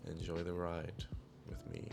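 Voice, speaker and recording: A man with a deep voice narrating his own vlog in a laid-back, rambling voiceover. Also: monotone, a little slow, creaky, over music. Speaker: vlogger. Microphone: clip-on wireless mic.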